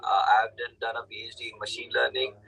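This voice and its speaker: Male interviewer, middle-aged. Raspy and sharp-toned, informal, wandering delivery full of "you know". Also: mid-range voice, flat delivery, fast, rough recording. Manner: rambling, repeats "you know". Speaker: show host. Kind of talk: casual chat interview.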